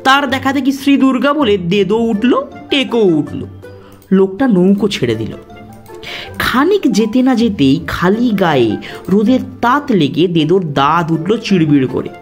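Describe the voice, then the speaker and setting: A woman narrating a scripted cartoon story in an expressive, dramatic storyteller's manner. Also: medium pitch, measured pace, faint music behind. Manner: animated, dramatic delivery.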